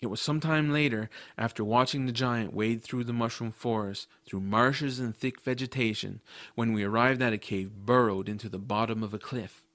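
A man's voice: medium pitch, fairly even intonation, moderate pace, a bit bright, no echo, close to an everyday voice. Man playing an earnest young adventurer, dry recording. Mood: narrating, disgusted. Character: earnest, kind-hearted adventurous boy narrating his story. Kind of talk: first-person audiobook narration with dialogue.

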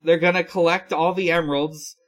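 A man hosting a podcast, giving a casual recap in a halting, hesitant way. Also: fairly high pitch, little pitch movement, a bit bright. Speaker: podcast host. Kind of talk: casual episode recap chat.

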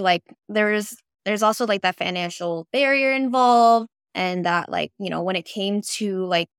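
A woman's voice: fairly low pitch, a bit bright, no echo, studio quality. A young woman hosting an interview podcast in a semi-casual way, often dropping "like" into her talk. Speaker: podcast host.

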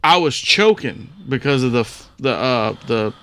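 A man's voice: mid-range voice, animated, normal speed, a bit bright, clear, resonant tone, no room sound. A man chatting casually as a podcast co-host, curious and often repeating his words. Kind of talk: casual chat.

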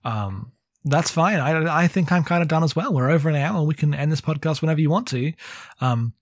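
Man, higher-pitched voice, close dry sound; opinionated, meandering podcast chat with plenty of filler "like" and swearing. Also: brisk, no room sound. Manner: rambling, heavy use of "like". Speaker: podcast co-host. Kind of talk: casual book discussion.

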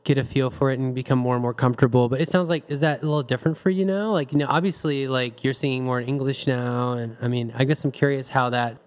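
A man hosting a relaxed group chat, thinking aloud and restarting his sentences often. His voice sits at an ordinary middle pitch. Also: quick pace, hardly any pauses. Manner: rambling, frequent restarts, reflective. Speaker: podcast host. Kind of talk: casual group discussion.

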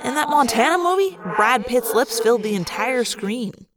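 A woman's voice: a little high, lively intonation, normal speed, natural voice. A woman voicing a sarcastic teenage boy in an audiobook, melodramatic, mocking and teasing. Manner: exaggerated, mocking. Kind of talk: audiobook character voice.

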